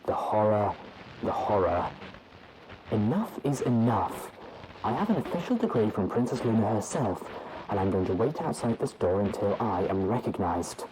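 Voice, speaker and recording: Deep-voiced man reading journal entries. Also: animated, moderate pace, noisy background. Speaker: narrator. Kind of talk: audiobook narration of journal entries.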